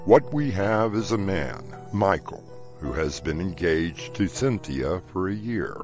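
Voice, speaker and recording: A man playing the storyteller host of a horror audio drama, narrating in a deliberate, theatrical, ominous way. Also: deep voice, expressive, soft background music. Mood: measured, ominous.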